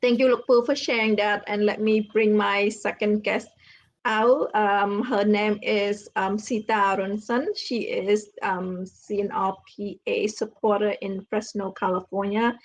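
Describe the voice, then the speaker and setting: A woman's voice: mid-range voice, steady tone, unhurried, poor audio. A woman hosting a casual online interview, friendly with loose, rambling phrasing. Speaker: host.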